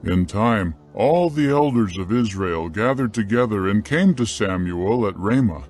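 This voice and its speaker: Slow, expressive, low-voiced male scripture narrator.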